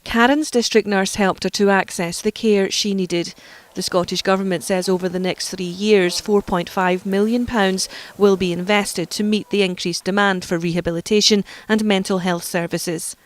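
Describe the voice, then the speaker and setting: A woman reporter reading scripted news narration in an even, measured way. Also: mid-range voice, dry recording.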